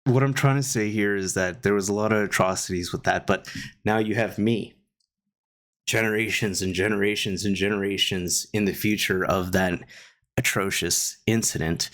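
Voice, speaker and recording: A man from Guyana giving a casual opinion monologue, rambling with many pauses and restarts. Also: sits low, a bit bright. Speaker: commentator reacting to online drama. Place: Canada.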